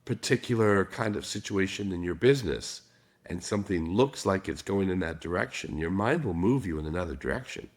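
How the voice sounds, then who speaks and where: A man with a raspy voice, a guest on a podcast, explaining his ideas calmly. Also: deep voice, a little fast. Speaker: guest, trauma specialist. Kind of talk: casual podcast interview.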